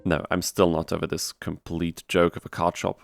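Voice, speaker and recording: A man narrates a gaming video in a lowish voice. His scripted delivery is wry and dryly sarcastic. Faint music plays underneath him. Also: little pitch movement, moderate pace, gravelly. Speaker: video creator and host.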